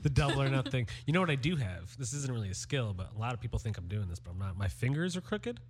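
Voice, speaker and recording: A man's voice: medium pitch, very animated, fast talker, crowd noise. A man co-hosting a podcast, born in Colombia and raised in New York, telling rambling jokey anecdotes and swearing freely in casual chat. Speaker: podcast co-host.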